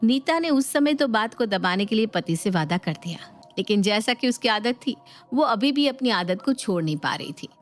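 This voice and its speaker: A woman's voice: a little high, lively intonation, moderate pace. A woman narrator reading a scripted family storybook tale. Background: music.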